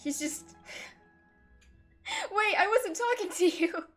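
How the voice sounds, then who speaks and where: A woman's voice: extremely high pitch, sing-song, drawn-out words. A woman livestreamer reacting casually to a video game, chatty, blunt and full of slang. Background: video game music.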